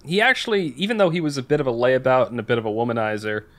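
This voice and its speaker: A man's voice: medium pitch, very fast, a bit bright. A man co-hosting a podcast in casual chat, blunt and opinionated in how he talks. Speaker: podcast co-host.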